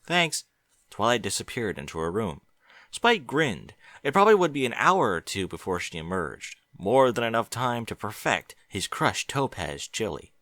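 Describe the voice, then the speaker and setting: A man narrating a story aloud in a bright, lively, expressive voice. The reading moves up and down with the plot. The audio is dry and close. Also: lowish voice, moderate pace, no room sound. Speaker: audiobook reader.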